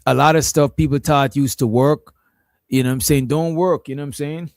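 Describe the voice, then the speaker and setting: A man's voice: fairly high pitch, fairly even intonation, a little fast, no echo, clean studio sound. A man hosting a casual stream, reacting to a clip in a laid-back, profane, rambling way.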